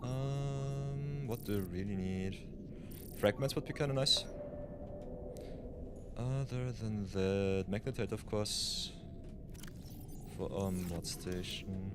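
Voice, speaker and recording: A man's voice: on the low side, takes it slowly, pauses often, music in the background. A man from Austria, streaming a game live, muttering his plans to himself and chatting with viewers. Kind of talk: casual live-stream commentary.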